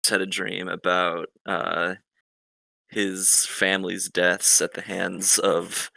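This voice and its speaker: A man's voice: sits low, little pitch movement, slow, vocal fry, sharp tone, dry recording, poor audio. A man chatting casually as a player in a tabletop roleplaying game. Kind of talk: casual chat.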